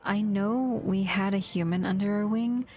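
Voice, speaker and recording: A woman acting a protective, wistful monster who escaped a lab, speaking gently to the listener in an audio roleplay. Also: mid-range voice, moderate pace, close to an everyday voice. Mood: warm, content.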